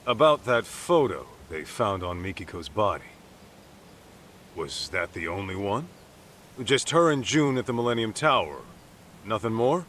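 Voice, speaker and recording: An actor playing a tough, hot-tempered middle-aged investigator in a dramatic video game cutscene, speaking in a blunt, confrontational way. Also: male voice, lowish voice, expressive, unhurried, a bit bright, slight background noise. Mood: probing, serious.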